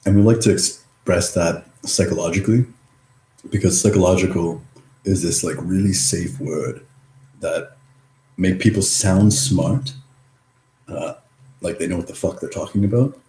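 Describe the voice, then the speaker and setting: Really low mellow guy rambling to camera, mumbly and halting, echoey room. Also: a little hiss or hum. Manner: halting, drifting, with long pauses between phrases. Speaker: vlogger. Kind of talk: rambling reflective monologue. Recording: roomy echo.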